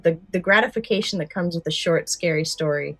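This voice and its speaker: A woman's voice: fairly low pitch, fairly quick, a bit bright, dry recording, faint music behind. A woman co-hosting a podcast, speaking conversationally about things she has chosen to share. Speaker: podcast co-host. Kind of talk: casual podcast chat with some prepared narration.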